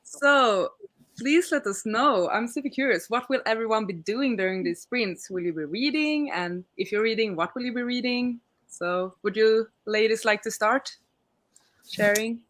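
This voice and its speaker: A woman's voice: fairly high pitch, normal speed, a bit bright. Casual woman hosting a chatty livestream. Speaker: livestream host. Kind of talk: casual chat on a group reading livestream.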